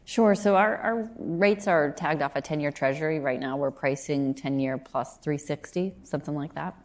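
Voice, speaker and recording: A woman's voice: low-pitched, moderate pace, darkish tone. A woman executive on a conference panel, answering audience questions in a matter-of-fact way and quoting figures plainly. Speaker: panelist, green lending executive.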